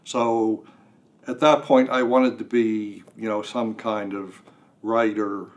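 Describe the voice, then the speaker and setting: Older male interview guest, lowish pitch, halting casual talk with dry self-mockery. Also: level tone. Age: 60s or older. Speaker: guest writer. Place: radio studio.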